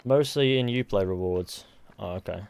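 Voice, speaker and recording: A man's voice: fairly low pitch, normal speed, creaky, a little mellow, clean tone. A tired man from the UK with a mixed Mancunian and Southern English accent, chatting casually on a live game stream, rambling and joking as he answers chat. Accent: mix of Mancunian and Southern English. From United Kingdom.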